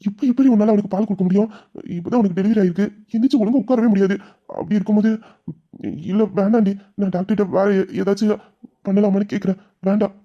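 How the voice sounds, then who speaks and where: A woman acting a tender, protective young husband and new father in a melodramatic audio drama, with a dark husky voice. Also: mid-range voice, fairly even intonation, quick pace, lightly acted. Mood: concerned. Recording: dull, muffled lo-fi sound.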